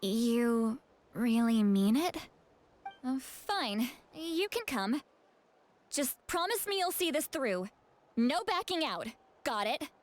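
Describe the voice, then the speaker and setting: A woman's voice: high voice, big pitch swings, slow pace, pauses often, heavily acted. A woman voicing a proud, stubborn, protective young woman in a video game, with an emotive, theatrical delivery. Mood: relieved, firm. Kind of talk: video game voice acting, dramatic dialogue.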